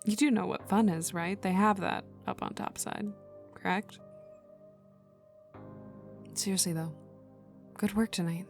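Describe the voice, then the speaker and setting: A woman acts out a young female villain enforcer in an ASMR audio drama, speaking close to the listener. Her voice has a creaky edge and ambles along, slipping into whispers. She is cocky and teasing, with a gruff protective streak, over ambient music. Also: medium pitch, steady tone, unhurried, pauses often, lightly acted. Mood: wry, caring. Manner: teasing, smirking, casual drawl lapsing into whispers.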